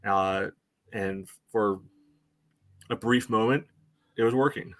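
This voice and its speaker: Lower-pitched man, casual soccer talk. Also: slow pace, fairly bright tone, dry recording. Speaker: sports podcast co-host. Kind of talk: casual soccer match analysis chat.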